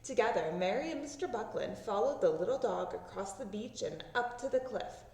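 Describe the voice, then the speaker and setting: Animated woman reading a storybook, echoey room. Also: medium pitch, normal speed, vocal fry. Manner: expressive, acting out sound words. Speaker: storybook reader. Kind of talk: children's read-aloud narration. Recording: roomy echo, distant microphone.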